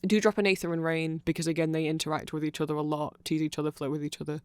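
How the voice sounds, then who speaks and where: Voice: mid-range voice, little pitch movement, very fast, darkish tone, clear, resonant tone, no room sound. A person giving an informal, rambling ASMR-style explanation full of asides, close to the microphone. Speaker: ASMR audio creator.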